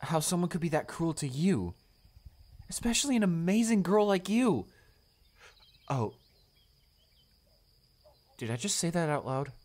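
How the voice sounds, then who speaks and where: A man's voice: fairly high pitch, very animated, a little slow, pauses often, a little mellow. A man playing a shy, kind-hearted male student in a romantic audio roleplay, hesitant, stammering and apologetic. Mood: flustered, apologetic.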